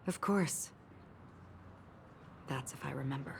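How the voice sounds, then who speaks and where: A woman voicing a calm, solemn, forgetful swordswoman wanderer in a video game, speaking in a grave, measured, reflective way. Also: extremely low pitch, creaky, slight background noise, exaggerated voice. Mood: calm, absent-minded. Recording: loud music under the voice. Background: loud music.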